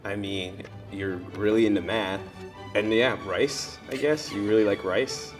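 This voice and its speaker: A man in a couples question game, teasing his partner with blunt, deadpan remarks. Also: lowish voice, a little slow, over music.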